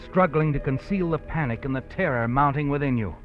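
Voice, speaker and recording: Knowing, menacing narrator , a man, tinny old radio, loud music bed. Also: medium pitch, brisk, lightly acted. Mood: ominous. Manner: taunting, knowing, second-person narration. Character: ominous all-knowing male narrator addressing the hero. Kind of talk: 1950s radio drama narration.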